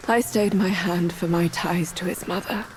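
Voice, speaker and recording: A woman playing a wise, mysterious figure who speaks in hushed, measured tones in a game cutscene. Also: lowish voice, steady tone, slight background noise, natural voice, low-quality recording. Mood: solemn.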